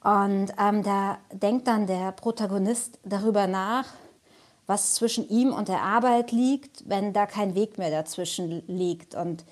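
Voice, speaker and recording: A woman's voice: medium pitch, steady tone, a little slow. A woman giving reflective interview answers as a jury member, searching for her words.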